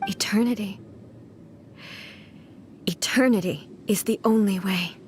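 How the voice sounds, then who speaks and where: A woman playing a solemn, regal shogun deity in a video game, speaking in a grave, measured, formal way. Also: medium pitch, background noise, natural voice. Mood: sorrowful, solemn. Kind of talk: dramatic video game acting. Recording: studio voice over background music.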